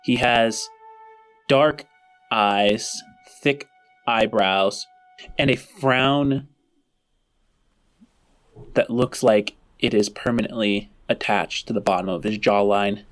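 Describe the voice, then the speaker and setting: A man narrating a tabletop roleplaying game as game master, with dramatic pauses and deliberate phrasing. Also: fairly low pitch, faint music behind.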